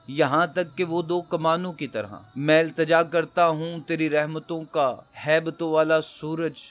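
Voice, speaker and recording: A man reading a supplication aloud as a guided meditation, in an earnest, deliberate, imploring manner. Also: on the high side, a bit bright. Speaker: prayer reader.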